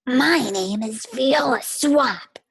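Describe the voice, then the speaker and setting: A woman voicing a mean, strict substitute teacher with a scratchy voice and a slow, menacing delivery. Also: sits high, fairly bright tone, slightly rough, no room sound, clean studio sound, voice pushed up, heavily acted. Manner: menacing, drawn-out.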